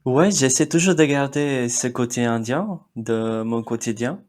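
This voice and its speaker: A man's voice: mid-range voice, unhurried, a little mellow. A man in his thirties from India, whose first language is Marathi, chatting as a podcast guest with many fillers and short laughs. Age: 30s. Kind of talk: casual interview chat.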